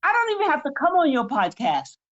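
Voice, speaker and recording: Woman in her seventies, high voice, fiery and emphatic, preaching cadence, dull lo-fi sound. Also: wildly swooping pitch, fast talker. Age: 70s. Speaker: co-host. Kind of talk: impassioned spiritual podcast talk.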